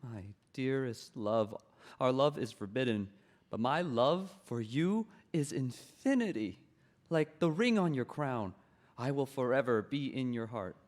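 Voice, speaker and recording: A man reading the part of a lovesick monkey king at a play's table read, slowly chanting and singing his verses. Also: mid-range voice, animated, close to an everyday voice. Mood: longing. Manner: slow, chanting sung refrains. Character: lovesick monkey king, male adult, brooding. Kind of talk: table read of a stage play, with sung verses.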